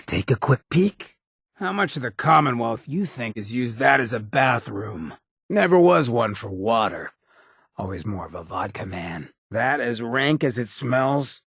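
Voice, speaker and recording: A roguish male ghoul companion in a video game, his voice heavily rasped and on the low side. He talks in wry, streetwise slang with a lively, swinging melody and a sly, chem-loving swagger. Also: no room sound, clean studio sound, exaggerated voice. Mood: wry, casual. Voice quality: raspy, gravelly.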